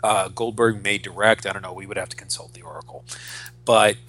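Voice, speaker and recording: A man's voice: on the low side, steady tone, fairly quick, fairly bright tone. A man in his forties co-hosting a podcast, chatting casually and with strong opinions, ranting and swearing freely. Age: 40s. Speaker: podcast host.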